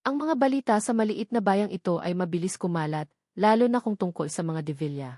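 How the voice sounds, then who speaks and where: A female storyteller plays a calm businessman in his fifties from an audio drama. He speaks in a measured, falsely sympathetic way, quietly scheming, with a dry sound. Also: medium pitch, no room sound, lightly acted. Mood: reassuring.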